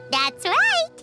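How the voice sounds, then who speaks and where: Tiny cheery game fairy, squeaky, extremely high, slow-paced, naive, exclamatory. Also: female voice, full character voice. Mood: cheerful. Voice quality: squeaky, childlike. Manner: speaks of self in third person, exclamatory. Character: small childlike floating fairy companion, eager, naive, cheery. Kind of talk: anime game voice acting.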